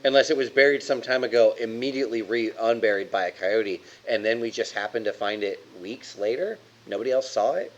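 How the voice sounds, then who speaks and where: A man's voice: mid-range voice, brisk, few pauses, highs cut off. A man playing a tabletop game, talking casually and reasoning things out aloud.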